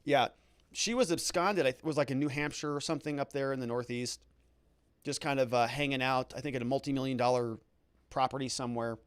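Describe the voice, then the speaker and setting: A man's voice: medium pitch, steady tone, brisk, clear, resonant tone, dry recording. A middle-aged American man hosting a livestream, reading out viewer comments and adding wry, conversational commentary. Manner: wry, conversational, joking asides. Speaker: livestream host and legal commentator.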